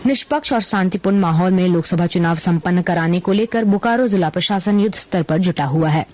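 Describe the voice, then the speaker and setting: A woman reading a scripted radio news bulletin in a formal, measured announcer's manner. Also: on the low side, a little mellow, lo-fi sound.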